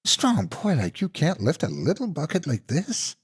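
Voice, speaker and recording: A man voicing a menacing female monster in a horror folktale, taunting and threatening in a drawn-out delivery. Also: fairly high pitch, wildly swooping pitch, husky, pitched above the performer's own voice, heavily acted. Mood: sly, coaxing. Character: menacing female clawed monster posing as an auntie.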